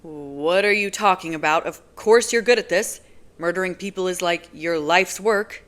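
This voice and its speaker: A woman's voice: fairly low pitch, normal speed, lightly acted. A woman voicing a furious, accusing young man. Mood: demanding. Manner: accusing, loud and heated.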